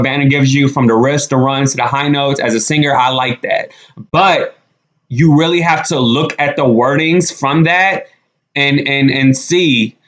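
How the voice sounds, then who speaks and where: A young man from the United States giving blunt, emphatic reaction commentary on his vlog, repeating points and swearing freely. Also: mid-range voice. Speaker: commentary vlogger.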